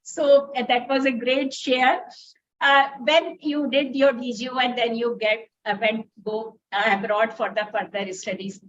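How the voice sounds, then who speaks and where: A woman with an Indian accent hosting a conversational interview, commenting at length in halting, wordy phrases. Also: fairly high pitch, fairly even intonation. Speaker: interview host, doctor. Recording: dull, lo-fi audio.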